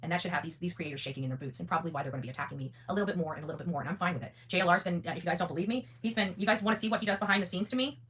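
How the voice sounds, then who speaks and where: A woman's voice: on the low side, rapid-fire, few pauses, a bit bright, slight background noise. A woman hosting an unscripted, opinionated monologue, blunt and defiant, often repeating phrases for emphasis and swearing freely. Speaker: online content creator and host.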